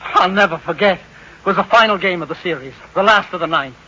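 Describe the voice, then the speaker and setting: An actor playing a talkative, self-important family-man neighbor in an old radio sitcom, husky-voiced and folksy. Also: high voice, brisk, very noisy, close to an everyday voice. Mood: boastful. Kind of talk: 1940s-50s radio sitcom acting.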